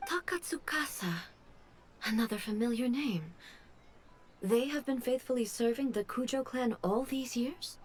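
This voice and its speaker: An actress playing a calm, regal female ruler who speaks in a measured, formal way. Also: on the high side. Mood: composed.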